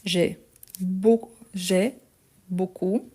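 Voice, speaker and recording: Slow, patient woman teaching French. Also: medium pitch, fairly even intonation, mellow, breathy edge. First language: Portuguese. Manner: patient, repeats words slowly, checks in with "ok?". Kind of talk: correcting a written exercise.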